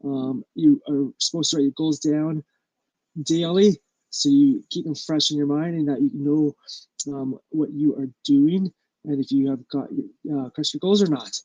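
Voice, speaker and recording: A man with a stuffy nose, hosting a casual live stream about his small business and talking haltingly with lots of ums and uhs. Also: a little high, steady tone, darkish tone, dry recording, dull audio.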